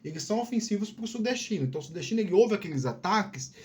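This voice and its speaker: Higher-pitched man chatting. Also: expressive, very fast, darkish tone. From Piauí, Brazil. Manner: informal, digressive, repeats words and checks in often. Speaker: video host. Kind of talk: casual career-advice chat.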